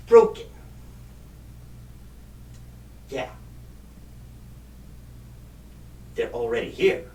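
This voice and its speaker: A man playing a clueless, flustered job recruiter in an ASMR comedy roleplay, stammering and repeating himself in a husky, halting voice. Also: mid-range voice, a little hiss or hum, poor audio. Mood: confused, alarmed. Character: flustered, clueless, absent-minded male job recruiter, apologetic and rambling. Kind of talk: ASMR comedy roleplay, celebrity-style impression.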